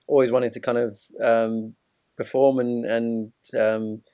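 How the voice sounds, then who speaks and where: A man in his thirties from Zimbabwe talks as a podcast guest. His voice is mid-pitched and recorded very dry. He tells his story in a casual, easygoing, conversational way. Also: flat delivery, measured pace, dry recording, muffled recording. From Zimbabwe, moved to England as a child. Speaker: podcast guest, ex-professional cricketer.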